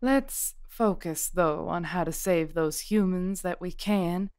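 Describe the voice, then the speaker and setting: Smooth, genteel witch leader played by a woman. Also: on the low side, slow, a little mellow, dry recording, studio quality. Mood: composed, commanding. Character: genteel, composed witch leader, politely commanding. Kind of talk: audio drama acting.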